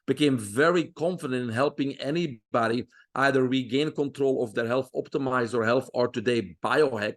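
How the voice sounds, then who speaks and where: A middle-aged man with a Belgian accent speaks at an ordinary, mid-range pitch. He tells his story in a steady, self-assured way and often pauses on a drawn-out "uh" between thoughts. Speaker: guest. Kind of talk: interview storytelling.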